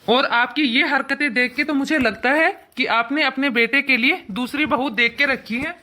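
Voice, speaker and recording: A woman's voice: sits high, normal speed, bright, forceful tone. A woman voicing an indignant, protective father who lectures emphatically in a cartoon family drama. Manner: emphatic, lecturing, repetitive scolding.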